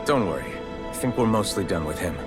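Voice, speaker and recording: A man's voice: low-pitched, animated, brisk, over loud music. An actor playing a determined young male hero and leader in a video game, firm, plainspoken and earnest. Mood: earnest, resolved.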